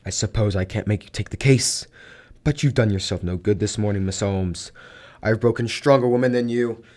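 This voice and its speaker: A man's voice: lowish voice, normal speed, a little mellow, close to an everyday voice. A man acting a proud, overbearing wealthy man in a radio drama, formal and domineering in manner. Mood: indignant, threatening.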